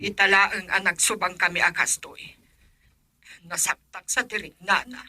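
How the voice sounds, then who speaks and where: A woman's voice: mid-range voice, fairly even intonation, moderate pace, pushed and bright, no room sound. A woman with an Ilocano accent playing an anxious middle-aged mother who frets aloud about her missing son in a radio drama. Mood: pleading. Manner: fretful, talking to herself in worry.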